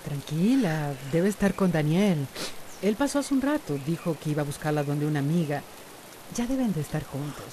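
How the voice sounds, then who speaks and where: An actress playing a bold woman hairdresser in a radio drama, soothing and expressive. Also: deep voice, moderate pace. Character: bold, independent woman hairdresser, calming and reassuring. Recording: clear rain-like noise behind.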